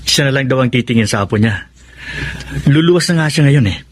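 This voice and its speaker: A male actor playing a polite, grateful, doting father in a radio drama, with a gentle delivery. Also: mid-range voice, expressive, fairly quick, no room sound, close to an everyday voice. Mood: friendly.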